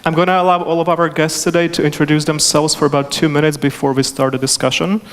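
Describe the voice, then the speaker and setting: A man with a Czech accent moderating a conference panel, formal and measured. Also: on the high side, little pitch movement, noisy background. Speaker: moderator, immigration attorney. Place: large conference hall. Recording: hall reverb from a conference stage.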